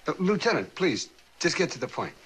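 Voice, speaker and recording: An actor playing an impatient political candidate in a crime drama, commanding and clipped. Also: male voice, on the high side, very animated, brisk, fairly bright tone. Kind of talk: television crime drama acting.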